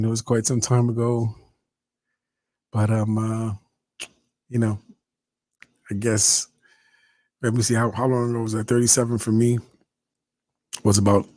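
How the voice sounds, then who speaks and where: A man's voice: mid-range voice, a little mellow, no echo. Chatty man in his fifties, dry close mic. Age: 50s. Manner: chatty, reads out viewer comments, often interrupts himself. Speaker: host. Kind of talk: casual livestream chat.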